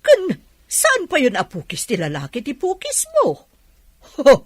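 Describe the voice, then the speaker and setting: A woman's voice: high-pitched, huge pitch swings, a little slow, no echo, clean studio sound, exaggerated voice. A woman playing a blustery, teasing factory boss in a comedy radio drama, full of exclamations. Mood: astonished, teasing.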